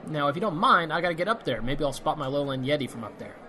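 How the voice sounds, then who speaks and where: A man plays a wisecracking monster hunter in a fantasy audio comedy. His fairly high male voice tosses off sardonic lines with cocky ease. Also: lively intonation, quick pace, pushed and bright, slight background noise. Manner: breezy, sardonic banter.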